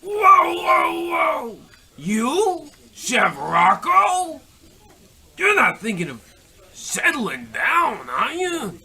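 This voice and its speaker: An actor playing a loud, theatrical mobster debt collector in a shouty, melodramatic radio comedy style. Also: high-pitched, huge pitch swings, extremely slow, exaggerated voice. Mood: incredulous. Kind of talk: old-time radio comedy acting.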